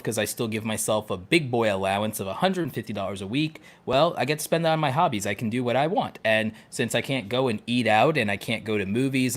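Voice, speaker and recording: A man co-hosting a podcast in casual conversation, talking with jokey, nerdy enthusiasm. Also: mid-range voice. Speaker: podcast co-host.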